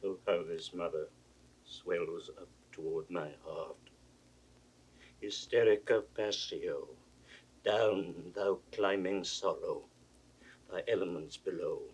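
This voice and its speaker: Proud aging king, forceful bright voice, slow declamation, imperious, classical stage drama, distant 1960s recording. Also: deep voice. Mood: anguished. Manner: declamatory, imperious, abrupt swings from muttering to roaring. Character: proud, aging king, wounded father, volatile and wrathful. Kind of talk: classical Shakespearean stage acting.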